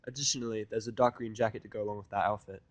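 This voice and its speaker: A man's voice: fairly low pitch, fairly even intonation, rapid-fire, no room sound. A man reading a scripted first-person fan fiction narration in a blunt, sardonic manner.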